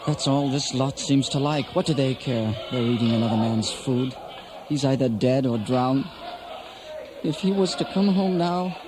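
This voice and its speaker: A man's voice: medium pitch, moderate pace, noisy background, close to an everyday voice. A man playing a resentful but courteous, unsure young prince in a radio play, gloomy and hesitant. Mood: bitter resignation. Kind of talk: 1970s radio play acting.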